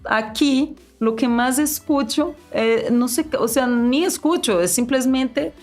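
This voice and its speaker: A woman from Brazil, a businesswoman guest in a casual interview, talking in an animated, chatty way with frequent restarts. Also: high-pitched, takes it slowly, soft background music. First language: Portuguese.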